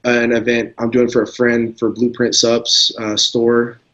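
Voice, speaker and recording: Easygoing male guest, filler-heavy casual talk, muffled low-fi recording. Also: a little slow. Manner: relaxed, laid-back, lots of "you know" fillers. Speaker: guest pro bodybuilder. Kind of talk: casual interview chat.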